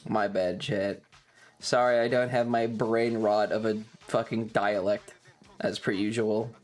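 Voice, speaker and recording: A man's voice: fairly low pitch, little pitch movement, unhurried. A man chatting casually as a livestream host, sweary and self-deprecating, sounding slightly tired. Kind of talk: casual rambling stream chat.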